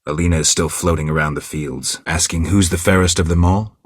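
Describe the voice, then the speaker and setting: An actor playing a gruff, stoic, world-weary monster hunter in a video game, speaking tersely and deadpan in a gravelly, husky voice. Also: male voice, deep voice, fairly quick, no echo, studio quality. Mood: dry, deadpan.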